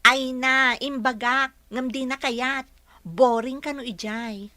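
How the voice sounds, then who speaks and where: A playful, dreamy young woman voiced by a woman in an Ilocano comedy radio play. The voice is fairly high and bright, recorded close and dry, with expressive, drawn-out teasing. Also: dry recording, full character voice. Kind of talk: comedy radio drama acting.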